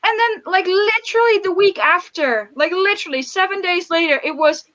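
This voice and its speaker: A woman with a Dutch accent in a casual group chat, telling things in an emphatic, animated way. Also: extremely high pitch, moderate pace, dull audio. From Netherlands. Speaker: book channel co-host.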